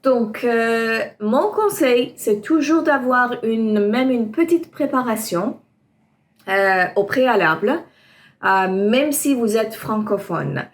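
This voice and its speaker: A woman's voice: a little high, animated, slow pace. A woman teaching French exam preparation, talking to her viewers with frequent hesitations. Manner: hesitant, many ums, reassuring. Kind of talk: explaining to camera.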